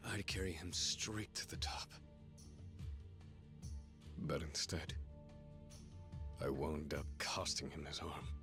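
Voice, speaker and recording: An actor playing a volatile, guilt-ridden gangster in a dramatic English video game dub, with a coarse, intense delivery. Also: male voice, rumbling low, takes it slowly, pauses often, over music, exaggerated voice. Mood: regretful, reflective.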